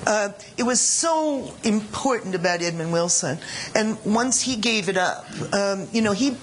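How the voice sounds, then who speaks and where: A woman's voice: mid-range voice, expressive, measured pace, low-quality recording. Woman critic, panel talk, thinks aloud, mid-range voice. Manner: thoughtful, argumentative, self-correcting. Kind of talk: panel discussion.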